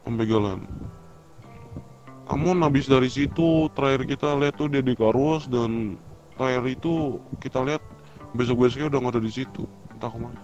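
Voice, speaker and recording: A man's voice: mid-range voice, fairly quick, pauses often, a little mellow, natural voice. A man roleplaying a cooperative street gang leader in an online game, talking informally and a little hesitantly. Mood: cooperative, casual. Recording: muffled game voice chat with music.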